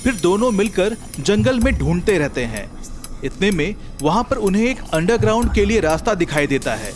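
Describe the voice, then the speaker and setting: A man narrating a scripted comic children's story in an animated storytelling manner. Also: high-pitched, moderate pace.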